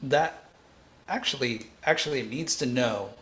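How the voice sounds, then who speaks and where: A man hosting a live coding tutorial, thinking aloud in a halting way and repeating phrases as he reasons. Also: medium pitch, a bit bright. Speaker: programming tutor and livestream host.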